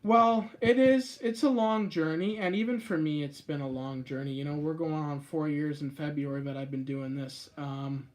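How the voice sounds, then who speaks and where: Chatty man, slightly high voice. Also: steady tone, normal speed, few pauses. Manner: chatty, rambling, with sudden upward pitch jumps on stressed words. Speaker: YouTube live-stream host. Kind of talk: casual live-stream chat answering viewer comments.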